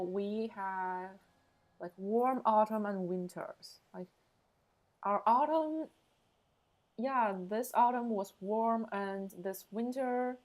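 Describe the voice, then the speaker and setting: A young woman from Beijing, chatting casually with viewers on a game stream and often using "like" as a filler. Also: mid-range voice. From Beijing, China. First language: Mandarin Chinese. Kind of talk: casual live-stream chat.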